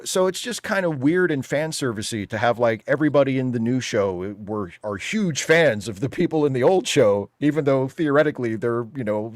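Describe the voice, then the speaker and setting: A man's voice: mid-range voice, no echo, clean studio sound. A man chatting casually and opinionatedly as a panel guest, emphatic and wry, sometimes slipping into mock quotes.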